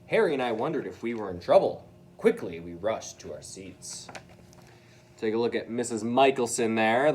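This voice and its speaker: Very animated man reading a children's story. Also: mid-range voice, slight background noise. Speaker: read-aloud storyteller for children. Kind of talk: expressive storybook narration with casual asides.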